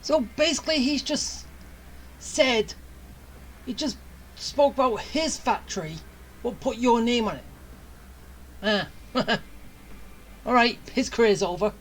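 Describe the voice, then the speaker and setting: A woman's voice: fairly high pitch, slow, pauses often, a little hiss or hum, low-quality recording. A woman streaming a video game with casual, offhand commentary in short, amused remarks. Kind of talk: casual let's play commentary.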